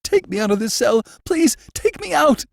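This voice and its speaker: A man voicing a terrified male prisoner who stammers and pleads in a high, strained, cracking voice, as in an audiobook. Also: very animated, moderate pace, vocal fry, dry recording, studio quality, exaggerated voice. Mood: panicked pleading. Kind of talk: audiobook character voice.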